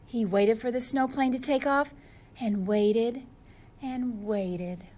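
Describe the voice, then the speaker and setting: A woman's voice: on the high side, slow, a little mellow, a little hiss or hum. A woman reading a storybook aloud to young children, expressively and speaking to them directly.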